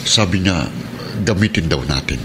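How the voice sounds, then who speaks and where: A man acting a middle-aged father in a Tagalog radio drama, earnest and gently pleading. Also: low-pitched, expressive, normal speed, background noise. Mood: earnest, reassuring. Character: remorseful, earnest father, police officer near retirement. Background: dramatic music.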